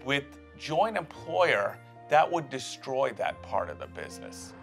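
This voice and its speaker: A man's voice: medium pitch, huge pitch swings, measured pace, gravelly, faint music behind. A man who runs franchise hotels, speaking in a documentary interview.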